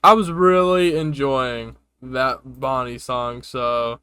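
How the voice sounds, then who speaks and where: A man's voice: medium pitch, steady tone, slow pace, pushed and bright, smooth, no echo. A man hosting a reaction video with casual, slangy, informal commentary.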